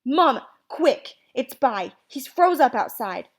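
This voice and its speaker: A woman voicing a feisty, excitable young schoolboy in a story, speaking emphatically. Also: on the high side, measured pace. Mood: urgent.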